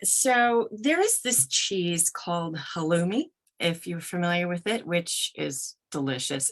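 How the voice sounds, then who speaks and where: Middle-aged woman, slightly low voice, podcast guest, conversational answers. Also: fairly bright tone. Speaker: podcast guest, audiobook narrator.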